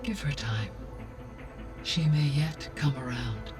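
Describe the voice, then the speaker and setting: An actress voicing a calm, wise female AI that speaks in a measured, formal way. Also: very deep voice. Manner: measured, formal, precise diction. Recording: distant voice over loud music.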